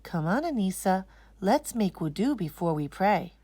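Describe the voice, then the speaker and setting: A woman playing a patient, gently correcting mother in a children's storybook, explaining and instructing. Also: mid-range voice, big pitch swings, moderate pace, no echo.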